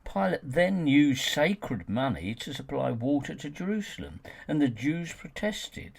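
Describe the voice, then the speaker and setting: A man reading his own argumentative nonfiction audiobook as scripted narration, with an emphatic, rhetorical delivery and a gravelly, husky voice. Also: a little high, very animated, a little slow.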